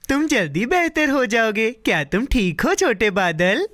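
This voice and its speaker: Lively, high-pitched cartoon boy. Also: measured pace. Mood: caring, eager. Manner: playful, expressive, childlike delivery. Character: cheerful, greedy, impulsive, kind-hearted young boy. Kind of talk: cartoon dubbing. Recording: music bed under the voice.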